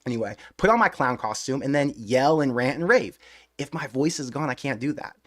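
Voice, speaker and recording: Rambling, excitable male streamer. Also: mid-range voice, a little fast. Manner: rambling, excitable, talks loosely with mild swearing. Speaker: live-stream host of a fan channel. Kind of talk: casual live-stream chat.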